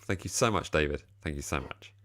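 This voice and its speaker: A man's voice: rumbling low, fairly quick, fairly bright tone, dry recording, studio quality. A tired man hosting a casual football chat, rambling and self-deprecating. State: tired, slightly deeper voice than usual. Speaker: podcast host.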